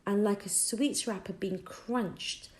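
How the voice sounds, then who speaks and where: A woman narrating a children's audiobook expressively, with deliberate storytelling phrasing. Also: mid-range voice, a little mellow. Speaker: audiobook reader.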